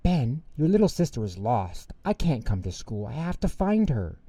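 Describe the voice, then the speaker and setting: A man voicing a worried but practical mother in a storybook, exclaiming and gently firm. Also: medium pitch, very animated, normal speed, no room sound, pitched above the performer's own voice.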